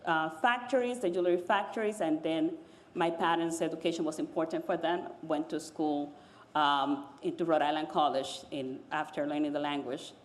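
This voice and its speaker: A woman from the Dominican Republic, a native Spanish speaker, telling her own story on a panel in a measured, pausing way. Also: sits low, fairly even intonation. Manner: measured, pausing, searching for words.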